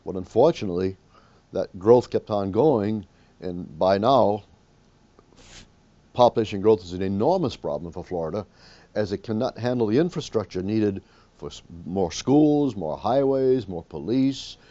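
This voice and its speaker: A man, an academic expert, explaining in an interview in a halting, measured way. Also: on the low side, animated, mellow. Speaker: demographer, university teacher. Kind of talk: interview commentary. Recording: dull, band-limited interview sound.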